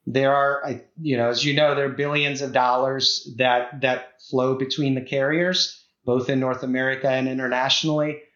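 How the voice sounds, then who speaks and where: A man's voice: mid-range voice, little pitch movement, moderate pace, hardly any pauses, fairly bright tone, reverberant, highs cut off. A middle-aged man from the Czech Republic, a guest executive talking in a podcast interview, hesitant, with many fillers and restarts.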